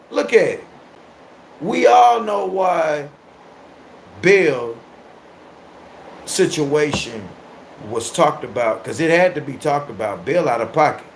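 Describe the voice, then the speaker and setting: A man in his thirties hosts a livestream and talks back to his viewers' comments in a loud, animated, crude way, laughing often. His voice sits a little higher than most men's, with a faint hiss of noise behind it. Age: 30s. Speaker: livestream host. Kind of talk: casual livestream chat, reacting to viewer comments.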